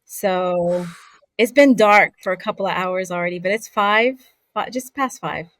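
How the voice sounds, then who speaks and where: A woman from Southern California, a voice actor, chatting as a guest over a remote video call from home, relaxed and quick to laugh. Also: mid-range voice, no room sound. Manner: relaxed, unhurried, laughs easily. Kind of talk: casual interview chat. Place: home in Scotland.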